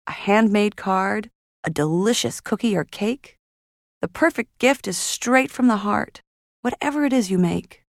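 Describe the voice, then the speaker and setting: A man reading a storybook aloud and voicing a kind, gentle window washer woman who explains things patiently. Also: sits high, gravelly, no echo, clean studio sound, pitched above the performer's own voice, full character voice.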